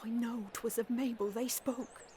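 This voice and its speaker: A woman's voice: on the high side, steady tone, measured pace, mellow, slight background noise, natural voice. A woman playing a fragile, haunted, grief-stricken madwoman in a Victorian audio melodrama, with anguished theatrical delivery. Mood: dazed, haunted.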